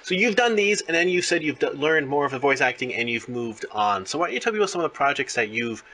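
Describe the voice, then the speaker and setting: A man's voice: fairly high pitch, big pitch swings, fast, sharp tone. A man hosting a podcast interview, chatting casually in a friendly, enthusiastic, somewhat rambling way.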